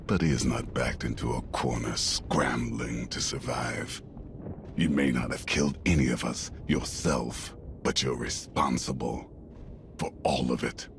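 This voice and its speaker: A man playing a grave, resentful accuser in a video game, stern, with a deep gravelly voice. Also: fairly even intonation, unhurried, full character voice. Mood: accusing. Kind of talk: dramatic video game acting. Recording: clear noise behind the voice.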